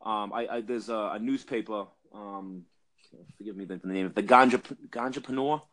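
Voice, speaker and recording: A man's voice: on the low side, fairly even intonation, moderate pace, a bit bright. A man from Massachusetts with a Boston accent, telling an industry story in a casual podcast chat with lots of fillers and restarts. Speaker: cannabis industry guest.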